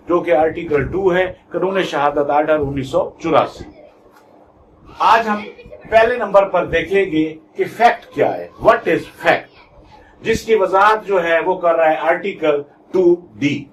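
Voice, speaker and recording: Slow-speaking Pakistani man giving a lecture. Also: on the high side. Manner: deliberate, emphatic, repeats key terms. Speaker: law lecturer, YouTube channel host. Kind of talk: instructional lecture. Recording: faint background noise.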